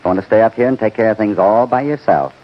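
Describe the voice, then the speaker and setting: A man playing a steady, plain-spoken cowboy hero in an old-time radio western, dryly confident and authoritative. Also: on the low side, fast talker, natural voice. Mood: probing, resolute. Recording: muffled, hissy old radio broadcast.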